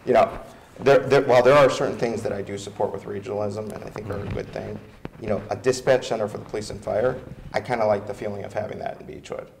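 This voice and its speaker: Gravelly, halting American man. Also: lowish voice, fairly even intonation, a little mellow, slight background noise, rough recording. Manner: halting, tentative. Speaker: city council candidate. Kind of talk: informal answer at a candidates' forum.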